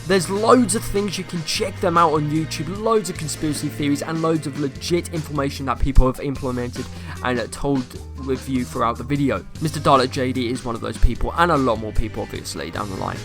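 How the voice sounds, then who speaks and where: A young man YouTuber with a slightly croaky voice, rambling through casual gameplay commentary and asking viewers questions. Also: mid-range voice, brisk, over music. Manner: rambling, self-correcting, asks viewers questions.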